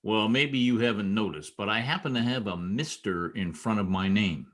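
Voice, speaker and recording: A man's voice: fairly low pitch, normal speed, a bit bright, lightly acted. Stubborn, wisecracking newspaper reporter played by a man. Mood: defiant. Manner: argumentative, sarcastic. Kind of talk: old-time radio comedy acting.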